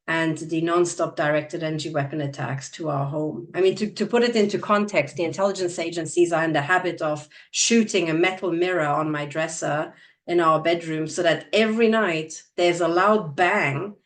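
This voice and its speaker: A woman's voice: sits low, fairly even intonation, moderate pace. Hungarian-native woman chatting on her channel, earnest, lots of ums, kinda far from the mic. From Transylvania, Romania. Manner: earnest, many fillers and restarts. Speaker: channel co-host. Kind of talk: conversational video chat.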